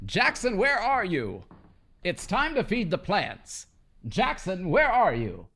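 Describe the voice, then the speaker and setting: A man's voice: high-pitched, huge pitch swings, slow, sharp tone, slight background noise, heavily acted. An actor playing an irritable, domineering older husband obsessed with his work, scolding impatiently in an old-time radio horror style. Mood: irritated.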